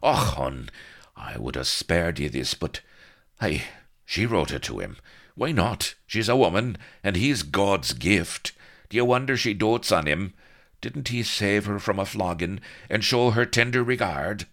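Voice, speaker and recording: Guy doing a sly scoundrel, all fake humble and hard done by, voice swooping about, a bit gravelly, audiobook. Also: extremely low pitch, big pitch swings, measured pace, pauses often, fairly bright tone, full character voice. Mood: feigned remorse. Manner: mock-humble, martyred, theatrical. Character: sly male rogue feigning meek, wounded innocence.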